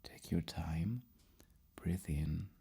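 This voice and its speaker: A man speaking in a soft, mostly whispered ASMR roleplay as a psychologist, breathy and halting, repeating words as he gently reassures. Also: deep voice. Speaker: roleplay host acting as a psychologist.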